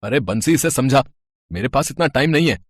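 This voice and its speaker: A man's voice: medium pitch, lively intonation, a little fast, no echo, studio quality, natural voice. A man voicing a brusque, bossy owner of a wedding band in a story. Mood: dismissive, scolding. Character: gruff, dismissive male boss of a wedding band.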